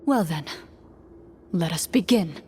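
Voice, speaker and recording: A woman's voice: fairly low pitch, lively intonation, slow, darkish tone, a little hiss or hum. An actress playing a solemn, composed woman who narrates a tabletop game formally and dramatically in a video game. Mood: solemn, commanding. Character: solemn, composed female game master and duelist. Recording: music behind the voice.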